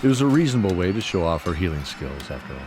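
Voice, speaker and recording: A man narrating a scripted fantasy audio drama in a measured, descriptive storytelling manner. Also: low voice, very animated. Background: music.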